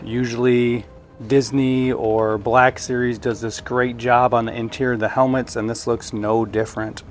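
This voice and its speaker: A man's voice: mid-range voice, little pitch movement, normal speed, faint music behind. A man giving a casual, hands-on unboxing review in a conversational way, thinking aloud as he goes. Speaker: product reviewer.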